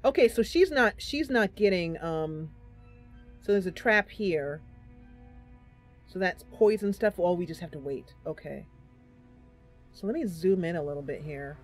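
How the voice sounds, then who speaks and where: A woman hosting a casual game livestream, chatty and animated, talking through her play and reading bits of story aloud. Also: on the low side, unhurried, pauses often, soft background music. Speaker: streamer and host playing a fantasy role-playing game. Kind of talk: casual livestream gameplay commentary with narration read aloud.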